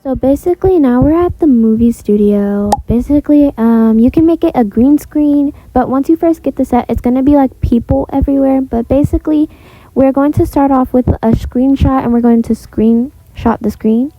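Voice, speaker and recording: A woman's voice: sits high, normal speed, no room sound, phone-quality sound. A woman giving a casual, rambling step-by-step tutorial voice-over in an informal way. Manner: informal, rambling, repeats filler phrases. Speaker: video creator and host of a tutorial.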